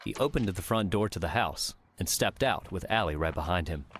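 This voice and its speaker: A man's voice: deep voice, lively intonation, brisk, a bit bright, slight background noise. A man reading scripted fantasy story narration as an audiobook narrator.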